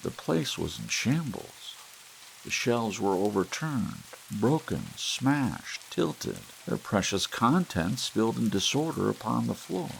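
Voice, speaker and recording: A man reading a short story aloud in a slow, soft, gentle ASMR style, with long pauses between phrases. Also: lowish voice, big pitch swings, creaky, rough recording. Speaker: audiobook narrator. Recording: faint hiss and soft rain behind.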